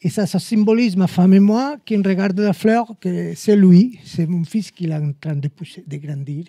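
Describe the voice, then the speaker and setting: Man, Spanish accent, halting speech, mellow dark voice, dry sound. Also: high voice, no room sound. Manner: hesitant, halting speech with restarts. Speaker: guest painter. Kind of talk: casual chat.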